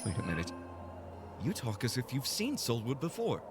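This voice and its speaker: A man's voice: mid-range voice, wildly swooping pitch, moderate pace, music in the background. A man voicing a proud, possessive royal fiancé in a game cutscene, speaking formally. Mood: commanding. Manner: formal, stern and commanding.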